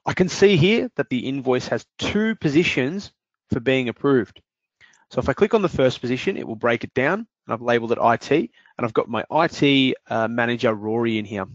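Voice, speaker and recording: A man demonstrating invoice software step by step in a screen-recorded walkthrough, explaining calmly with the odd "uh" and "um". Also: medium pitch, brisk, no echo. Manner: explanatory, steady, with fillers. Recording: dry, dull lo-fi voice-over.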